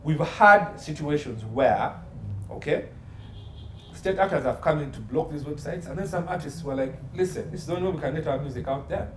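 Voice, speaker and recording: A man's voice: fairly high pitch, a little fast, a bit bright. A man giving opinionated interview commentary as an expert guest, assertive and emphatic, fond of repetition and rhetorical questions. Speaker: guest expert, entertainment law consultant. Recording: roomy echo, faint background noise.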